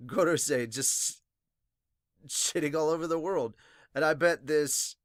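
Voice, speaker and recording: A man hosting a fan reaction podcast in a casual, excitable way with some swearing, sounding a little congested and sniffly. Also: on the high side, a little slow, no echo, studio quality. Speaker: podcast host reviewing manga. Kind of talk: casual fan reaction, reading viewer comments aloud.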